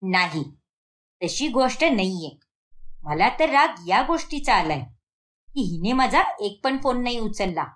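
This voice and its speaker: A woman's voice: medium pitch, very animated, unhurried, long pauses, pitched below the performer's own voice. Stern scolding mother acted by a woman. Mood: annoyed. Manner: scolding, emphatic. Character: stern middle-aged mother, strict, scolding and exasperated. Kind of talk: cartoon moral story acting.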